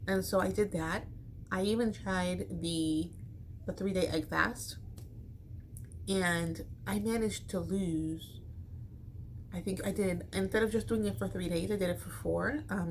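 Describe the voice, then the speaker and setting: A woman's voice: lowish voice, steady tone, measured pace. Casual American woman vlogging over background noise. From Chicago, United States. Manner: rambling, informal, slangy. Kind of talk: casual chat to camera.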